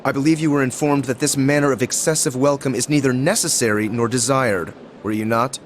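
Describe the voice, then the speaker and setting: A man's voice: mid-range voice, steady tone, fairly quick, slight background noise, close to an everyday voice. An actor playing a stiff, haughty young nobleman at a military academy in a game, speaking in a proud, formal, clipped way. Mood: stern, displeased. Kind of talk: video game cutscene acting.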